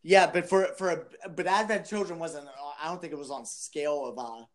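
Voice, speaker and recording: A man with a somewhat high voice talks casually as a podcast panelist. He is animated and halting, starting and restarting his thoughts in an informal, chuckly way.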